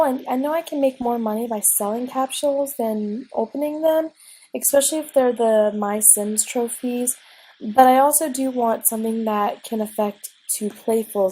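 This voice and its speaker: Young woman gamer, slightly high and husky, stuffy from a cold, chatty, unscripted home recording. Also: dark tone, low-quality recording. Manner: rambling, chatty, frequent ums and restarts. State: recovering from a cold, sniffly. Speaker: let's play gamer. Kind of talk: casual gameplay commentary. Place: at home at a desk.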